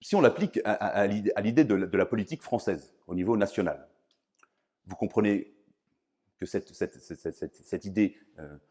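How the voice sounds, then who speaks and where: A man from France lecturing on philosophy in a didactic, emphatic way, often pausing to search for words. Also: lowish voice, mellow. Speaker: priest and philosophy professor giving a lecture. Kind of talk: public philosophy lecture, semi-improvised.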